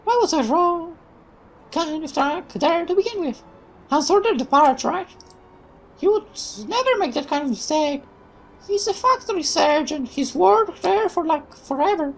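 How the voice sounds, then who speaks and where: A woman reading aloud as a spirited young female mechanic in a visual novel, talking in a high, exclaiming, indignant voice. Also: expressive, a little slow, slight background noise, heavily acted, rough recording. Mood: curious.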